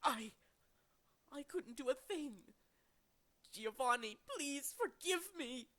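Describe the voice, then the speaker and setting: A theatrical game villain, a smug and cowardly blusterer. His bright, forceful voice swoops up and down as he boasts and frets in hammy fashion. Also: very high-pitched, animated, slow, voice pushed up, exaggerated voice. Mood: indignant. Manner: hammy, boastful, easily flustered. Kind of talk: video game villain character acting.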